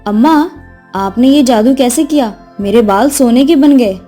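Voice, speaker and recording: A woman playing an earnest young village girl, mellow voice. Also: a little high, a little slow. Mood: amazed. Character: kind, hardworking young eldest sister, a village girl. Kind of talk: voice acting in a Hindi fairy tale. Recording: music behind the voice.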